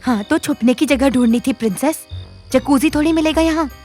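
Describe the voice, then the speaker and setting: A woman's voice: sits high, a little fast, a little mellow, natural voice. An actress playing a bold, streetwise young woman in an audio drama, with a bossy, sardonic, teasing manner. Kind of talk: Hindi audio drama acting. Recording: music under the voice. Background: background music.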